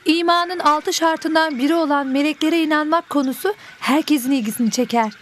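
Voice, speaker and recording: A woman's voice: high voice, a little fast, slight background noise, lightly acted. A woman voicing an earnest, polite schoolchild in an educational cartoon. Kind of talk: scripted educational cartoon dialogue.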